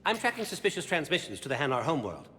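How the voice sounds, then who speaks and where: A man's voice: a little high, very animated, racing, sharp tone, faint music behind, heavily acted. A man voicing an intense, confident alien special agent in a video game, clipped and formal in delivery. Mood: earnest, intent. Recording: long echoing reverb, background noise.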